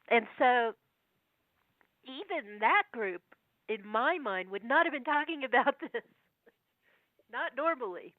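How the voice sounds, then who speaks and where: A woman with a cold, her voice going, speaking as a guest over the phone and reading old verses slowly between chatty asides. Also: high voice, expressive, no echo. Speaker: guest researcher. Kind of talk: phone interview, reading prophecy verses aloud. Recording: tinny phone line.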